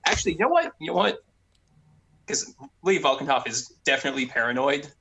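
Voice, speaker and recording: A man's voice: a little high, expressive, fast talker, crowd noise. A man in casual table talk as a player in a tabletop role-playing game.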